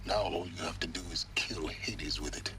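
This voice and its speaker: An actor playing a secretive, scheming male scholar in a video game, speaking gravely and cryptically. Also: sits low, steady tone, normal speed, music in the background, lightly acted.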